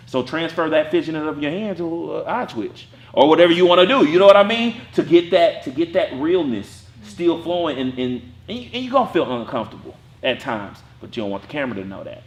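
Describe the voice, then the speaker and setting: A man teaching an acting class, talking casually in a lively way with a strong voice and acting out bits of his stories. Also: fairly high pitch, echoey room.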